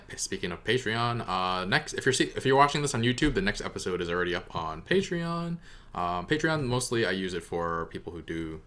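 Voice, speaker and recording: Low-voiced, animated man reacting casually. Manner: rambling, amused. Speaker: reaction video host.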